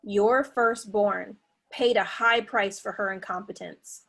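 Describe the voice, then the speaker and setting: A woman's voice: medium pitch, fairly even intonation, takes it slowly, gravelly, fairly bright tone, exaggerated voice. A woman playing a cold, righteous cult leader in a dramatic table read, stern and imperious in her delivery. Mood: defiant.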